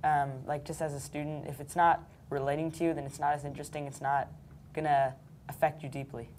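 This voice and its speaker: An eighth-grade girl with a very low voice talks casually about a lesson, using plenty of fillers. Faint room ambience sits behind her. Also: little pitch movement, fairly quick, a little mellow, a little hiss or hum. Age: young teen. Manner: casual, uses many "like" and "um" fillers. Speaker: student.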